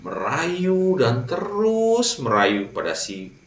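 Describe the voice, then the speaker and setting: A man narrating a fable for children, with dramatic pauses and direct address to young listeners. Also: fairly high pitch, big pitch swings. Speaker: children's storyteller. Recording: roomy echo, distant mic.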